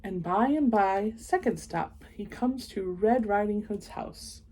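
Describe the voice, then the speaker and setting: A woman reading a children's picture book aloud, expressive in the story and chatting casually and enthusiastically in asides. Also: mid-range voice, slow, rough recording. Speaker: read-aloud host.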